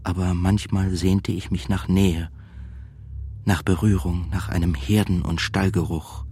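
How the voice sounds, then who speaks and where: A male actor playing a disillusioned, lonely composer, narrating his thoughts in a measured, literary, brooding way for a radio play. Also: sits low, warm tone, slightly rough.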